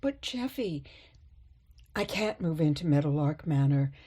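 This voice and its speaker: A woman playing a sweet, gently stubborn elderly mother in a radio play, coaxing and chiding softly. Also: low voice, lively intonation, measured pace, slightly rough, exaggerated voice. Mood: meek.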